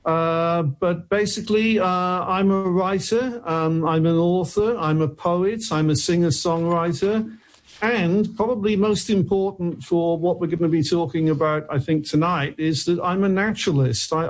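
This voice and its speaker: A man's voice: a little high, moderate pace. A man from Wales, a radio guest talking earnestly with many restarts. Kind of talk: radio interview.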